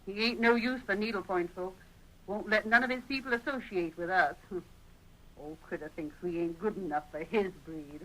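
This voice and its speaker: Folksy, sharp-voiced frontier busybody. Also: female voice, mid-range voice, normal speed, rough recording. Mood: gossipy, indignant. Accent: rural American Western. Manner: folksy, emphatic, chattering. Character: gossipy, folksy frontier busybody woman, opinionated and chatty. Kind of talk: 1940s radio acting. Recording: old radio drama recording.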